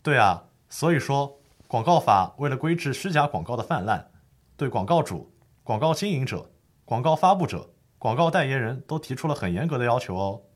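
A man's voice: mid-range voice, very animated, normal speed. A man playing a patient lawyer who explains the law formally and methodically in a scripted educational audio drama. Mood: explaining, firm.

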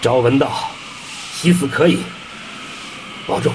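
A man's voice: mid-range voice, slow pace, background noise, lightly acted. A man playing a commanding but caring space mission leader in an audio drama, urgent and earnest. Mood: earnest, solemn.

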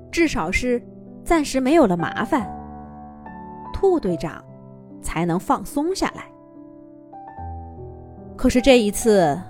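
A woman storyteller reading a children's fairy tale in an expressive, lilting manner. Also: mid-range voice.

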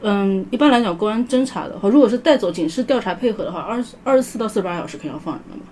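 A woman's voice: medium pitch, animated, rapid-fire, darkish tone. A woman speaking as an expert podcast guest, explaining things step by step in a conversational way. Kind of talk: explanatory panel talk. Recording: faint background noise.

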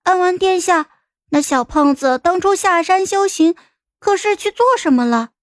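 Impudent youngster for a period audio drama, played by a woman: raised lively pitch, blunt, indignant, mocking. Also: moderate pace, dry recording, studio quality. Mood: pointed questioning. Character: impudent young child of a general, blunt and cheeky.

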